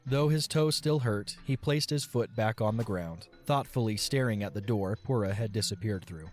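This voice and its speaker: A man's voice: on the low side, normal speed, a little mellow. A man narrating a scripted fantasy audio story as a storyteller. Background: background music.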